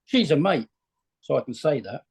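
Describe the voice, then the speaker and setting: A man's voice: on the high side, big pitch swings, normal speed, creaky, slightly rough, exaggerated voice. A man playing a drunk, bitter, dishevelled comedian in his late forties, rambling belligerently in a script reading. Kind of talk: screenplay read-through acting.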